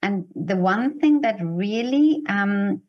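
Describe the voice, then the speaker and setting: A woman's voice: medium pitch, animated, slow, dull audio. A woman, an Afrikaans speaker, interviewing a guest and responding with encouraging remarks. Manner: warm, encouraging, frequent backchannels. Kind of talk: interview conversation.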